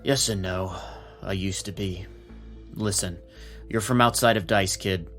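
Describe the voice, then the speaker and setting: Deep-voiced gruff police captain, played by a man. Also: a little slow, fairly bright tone, soft background music. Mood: frank, world-weary. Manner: plain-spoken, streetwise, in command. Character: gruff, shrewd male police captain of a crooked city. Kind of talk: noir audio drama dialogue.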